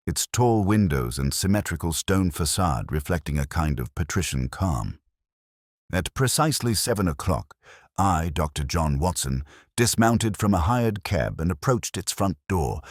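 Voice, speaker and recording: A man narrating a scripted story in a measured, formal manner. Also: a bass voice, dry recording, clean studio sound. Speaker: audiobook narrator.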